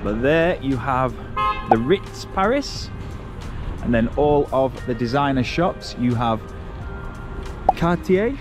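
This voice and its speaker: A man's voice: mid-range voice, expressive, slow pace, long pauses, over music. A man in his forties, chatting casually as he walks and points out city sights, filmed outdoors on a camera held at arm's length. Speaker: travel vlogger. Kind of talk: casual travel vlog commentary. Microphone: action camera held at arm's length.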